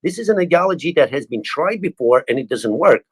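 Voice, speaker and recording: A middle-aged man with an Albanian accent answers interview questions in an earnest tone. His voice sits a little high for a man. He often catches himself and restarts a word. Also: animated, fairly quick, slightly rough. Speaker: guest.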